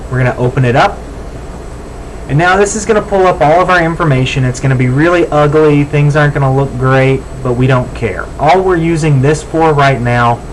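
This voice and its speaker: An American man talks through a software walk-through in an informal, step-by-step way. His voice sits at a middling pitch. A faint layer of background noise runs under his instructions. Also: low-quality recording.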